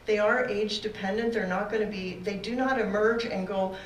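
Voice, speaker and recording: A woman presenting a beginner beekeeping class to a room, loud-voiced and talking in a halting way with fillers and restarts. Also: mid-range voice, steady tone, a little hiss or hum. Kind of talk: classroom lecture with slides. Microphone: far room recording, no mic for a while. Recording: echoey room from a distance.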